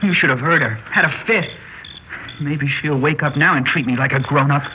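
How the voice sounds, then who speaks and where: An actor playing a naive, boastful rich youth in an old radio crime drama, eager and petulant. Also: male voice, on the high side, moderate pace, natural voice. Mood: boastful, sulky. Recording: noisy, muffled vintage radio recording.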